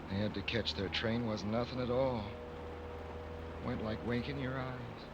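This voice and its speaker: A man's voice: medium pitch, steady tone, a little slow, muffled recording, close to an everyday voice. An actor playing a smitten, naive young American racetrack hand, confiding his story in folksy, rambling speech in old radio drama style. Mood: hushed, tender. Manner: colloquial, folksy, rambling first-person confiding. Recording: noisy old radio recording, vehicle noise behind.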